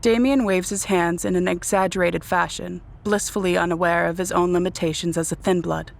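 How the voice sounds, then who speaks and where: A woman narrating a dark vampire audio drama in a composed, scripted storytelling style. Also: lowish voice, fairly even intonation, fairly quick, gravelly.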